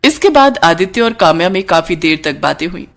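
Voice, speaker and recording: A woman's voice: on the low side, sing-song, brisk, vocal fry. Woman narrating a cartoon story over music.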